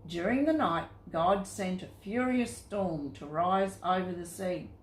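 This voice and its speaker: A woman narrates Bible stories for young children. She goes slowly and keeps the telling simple and expressive. The recording has a noticeable room echo around her voice. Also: lowish voice. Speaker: storyteller for children. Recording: roomy, echoing sound.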